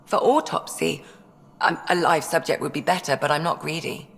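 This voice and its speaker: A woman's voice: deep voice, moderate pace, gravelly. A keen alien medic in a sci-fi game. She sounds a little nervous and hesitant, yet full of scientific enthusiasm. Her voice carries a long reverb. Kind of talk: video game dialogue acting.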